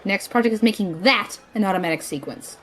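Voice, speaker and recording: Casting: actress as dry, teasing techie woman, mid-pitched, very expressive, audio drama. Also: a little fast, natural voice. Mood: bossy, mocking. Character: sardonic, tech-minded female sidekick on a video link.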